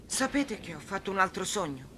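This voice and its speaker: An actor voicing a naive, trusting teenage boy, the youngest brother, in an animated film dub. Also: medium pitch, brisk, noisy background, lightly acted. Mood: earnest, guileless. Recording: music behind the dialogue.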